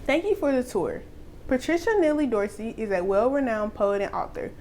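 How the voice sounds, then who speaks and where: Female program presenter, slightly high voice, scripted delivery, light noise in the background. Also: animated, moderate pace, darkish tone. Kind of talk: scripted introduction. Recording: faint background noise and ambience.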